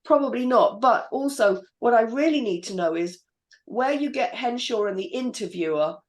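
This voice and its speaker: A woman's voice: on the high side, expressive, normal speed. A woman hosting a script reading panel and giving notes on formatting. She thinks aloud and hesitates with frequent fillers. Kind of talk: casual feedback discussion.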